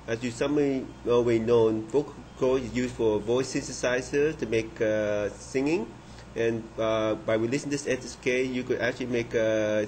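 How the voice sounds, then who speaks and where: Male developer presenting a demo, halting and unscripted, medium pitch, some background noise. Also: a little mellow. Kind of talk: software demonstration. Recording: clearly noisy room recording.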